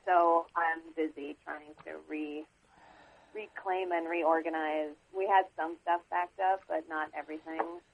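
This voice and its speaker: A woman's voice: low voice, fairly even intonation, unhurried, creaky. A woman on a group call, chatting in a rueful, casual way. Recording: muffled lo-fi phone line with faint hiss.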